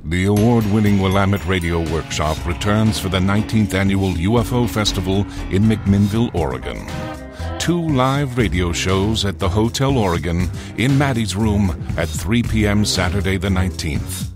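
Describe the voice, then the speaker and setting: A man's voice: sits low, expressive, normal speed, over music. A man announcing a scripted promotional spot for live events.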